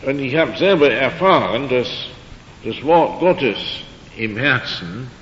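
A man's voice: animated, fairly bright tone. An older man speaking German as a second language, giving an evening talk on faith with dry humor and frequent hesitations. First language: not German. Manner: slow, halting, with dry humor and many fillers. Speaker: lecturer. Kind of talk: evening lecture on creation and faith. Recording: dull, echoey hall recording with faint rumble.

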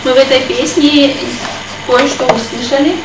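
A woman interpreting her husband's sermon and reading scripture aloud in a steady, careful way. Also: high-pitched, normal speed. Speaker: interpreter, preacher's wife. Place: church hall. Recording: echoey hall from far off, noisy.

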